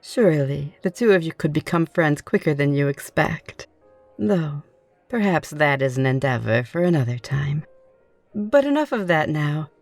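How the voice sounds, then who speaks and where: Casting: actress for courteous fledgling vampire lady, low voice, close dry sound, hopeful and inquisitive, ASMR roleplay. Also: animated, normal speed, a little mellow, no echo. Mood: courteous, reassuring. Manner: formal, genteel, reassuring phrasing. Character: optimistic, curious, courteous newly turned vampire woman. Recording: music behind the voice. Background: music.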